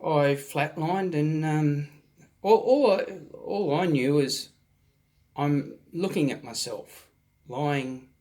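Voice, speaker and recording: A man, hosting his own channel and telling a personal story in a halting way, his voice slightly hoarse from a recent virus. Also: a little high. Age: 40s. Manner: halting, many ums and restarts, soft-spoken. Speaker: vlog host.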